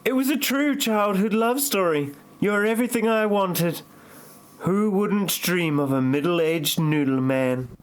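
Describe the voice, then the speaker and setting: A man's voice: sits high, a little slow. A man playing a sweetly romantic, slyly mocking woman in love in an amateur parody audio play. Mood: dryly mocking.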